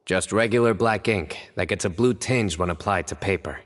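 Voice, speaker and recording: Game role: stern young male detective, low-pitched, measured, formal, deadpan, reverberant sound. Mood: analytical. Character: serious, composed young male investigator, former student of scholar. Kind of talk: video game voice acting.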